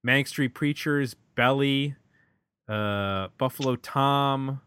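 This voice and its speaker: A man's voice: medium pitch, takes it slowly, fairly bright tone, clear, resonant tone. A man hosting a podcast, talking informally as he discusses records and addresses listeners. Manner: informal, conversational. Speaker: podcast host. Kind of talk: casual album discussion and show sign-off.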